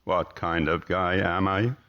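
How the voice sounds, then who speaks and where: An actor playing a gloomy car salesman in a radio drama, speaking in a formal, deadpan, sardonic and measured way. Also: sits low, highs cut off. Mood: dry, measured. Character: tall, gloomy, curt male car salesman, dryly superior.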